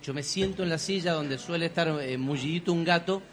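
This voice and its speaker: Relaxed Argentine man hosting radio. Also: a little high, a little fast. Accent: Argentine Spanish. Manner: relaxed, joking, conversational. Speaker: radio host. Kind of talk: casual radio chat. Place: radio studio.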